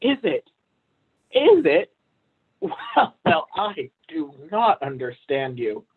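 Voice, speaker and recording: The sound is narrow and phone-like. A young man plays a pompous poet and husband in a period stage comedy, his voice pitched somewhat high. He is vain and blustering, with stiffly formal speech. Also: huge pitch swings, slow pace, husky, full character voice. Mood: aggrieved, vain. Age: young adult. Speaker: student actor.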